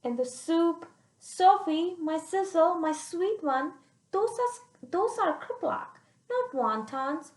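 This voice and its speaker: Lady doing a bossy affectionate storybook grandma, high n swoopy, exclaims a lot, echoey room. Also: expressive, slow pace, exaggerated voice. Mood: surprised, indignant. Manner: exclaiming, endearing, emphatic. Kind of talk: read-aloud children's storybook.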